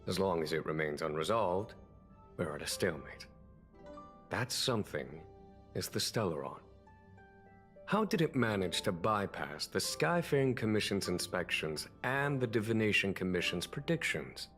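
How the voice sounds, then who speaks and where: Low-voiced, weary general, patient and wise. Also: male voice, sing-song, a bit bright, soft background music. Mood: patient, firm. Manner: measured, deliberate, formal. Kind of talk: scripted video game voice acting.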